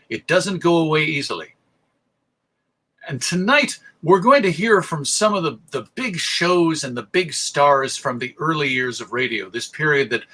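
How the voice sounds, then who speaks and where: An American man presenting an informal public lecture, speaking in deliberate, emphatic phrases with pauses. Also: fairly high pitch, pushed and bright, husky. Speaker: presenter, radio show host.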